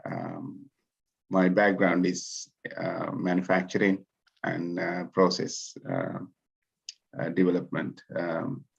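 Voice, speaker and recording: A man from Sri Lanka introduces himself on a video call in a very deep, gravelly, husky voice that stays flat. He speaks hesitantly and pauses often on filler sounds. The call audio sounds dull and lo-fi. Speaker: student.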